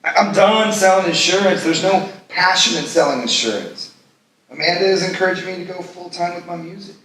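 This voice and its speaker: A man's voice: high voice, brisk, fairly bright tone. Man playing gushing lovestruck guy in echoey hall. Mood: excited. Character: smitten man in his thirties, self-absorbed, giddy about new romance. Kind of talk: live screenplay table read. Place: live festival stage reading in a large room. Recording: echoey hall, distant mic, noisy.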